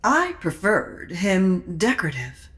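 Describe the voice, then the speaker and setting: A woman's voice: lowish voice, sing-song, a bit bright, heavily acted. A woman playing a coolly observant, sardonic manor guest in a comedy audio drama. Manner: sardonic, deliberate.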